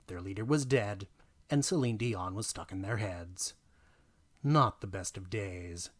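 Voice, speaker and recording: A man's voice: on the low side, a bit bright. Theatrical male storyteller. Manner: theatrical, emphatic, deliberate pauses for effect. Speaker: narrator. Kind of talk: dramatic reading of a tabletop gaming story.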